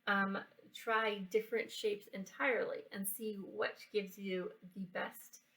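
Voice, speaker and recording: A woman giving a casual how-to science and craft demonstration for children, explaining in a halting way and checking in as she goes. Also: medium pitch, fairly even intonation, a bit bright, distant mic, rough recording. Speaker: library children's program presenter. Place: indoors, in a library building.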